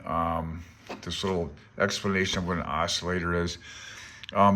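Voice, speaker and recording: Bright, low-pitched man thinking aloud. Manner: self-deprecating, unsure, thinking aloud. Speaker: amateur radio hobbyist presenter. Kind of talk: informal read-aloud and commentary on a handbook.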